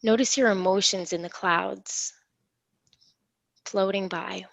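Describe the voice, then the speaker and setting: A woman leading a guided imagery meditation in a soothing, unhurried, reassuring manner. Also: mid-range voice, steady tone, gravelly, no echo. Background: gentle instrumental music.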